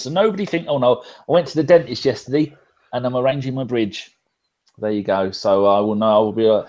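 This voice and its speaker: A man's voice: mid-range voice, a little mellow. A middle-aged man hosting a football podcast, chatting in a jokey, rambling, sweary way over a video call. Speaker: podcast host. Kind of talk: casual football banter. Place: home room.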